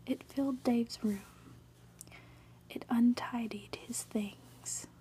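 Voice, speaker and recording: A woman narrating a storybook in a breathy ASMR whisper, reading gently and deliberately. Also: fairly high pitch, fairly even intonation. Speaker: ASMR reader. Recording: faint background noise.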